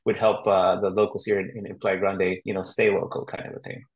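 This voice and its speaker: A middle-aged man from Honduras, a real estate agent chatting as an interview guest in a relaxed, rambling way with fillers and restarts. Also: sits low, fairly quick. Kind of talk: casual interview chat.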